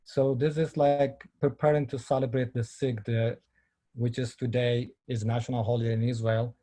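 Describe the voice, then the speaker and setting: A middle-aged man from Ethiopia speaking halting with many fillers, giving a personal talk on a video call. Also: mid-range voice, flat delivery, dark tone. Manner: halting non-native English with many fillers. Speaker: filmmaker and panel speaker.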